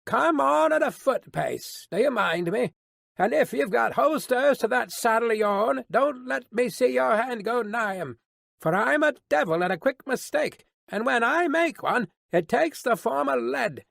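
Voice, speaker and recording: A man voicing a gruff, suspicious coach guard in an audiobook, growling threats and challenges in rustic dialect. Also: very high voice, expressive, a little slow, fairly bright tone, dry recording, clean studio sound, pitched above the performer's own voice, heavily acted. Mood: wary, threatening. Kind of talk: dramatised audiobook reading.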